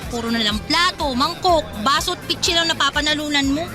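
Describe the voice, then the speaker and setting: A woman's voice: high-pitched, fairly quick, lightly acted. An actress playing a bored young woman who grumbles and complains, in radio drama style. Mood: weary. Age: young adult. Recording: music and crowd noise behind.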